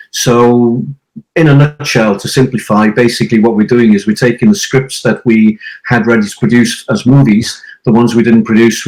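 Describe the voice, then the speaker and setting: A man's voice: lowish voice, a little mellow, poor audio. A man from Wales whose first language is Welsh, chatting at length as an interviewed author and producer, rambling with many fillers. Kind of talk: casual interview talk.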